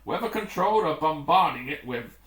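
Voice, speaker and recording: A matter-of-fact rescue crewman voiced by a male reader delivers reports plainly with cautious optimism in storybook dialogue. His intonation is animated and the room rings with echo. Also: fairly high pitch, moderate pace. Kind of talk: acted adventure story dialogue. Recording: echoey, roomy home recording.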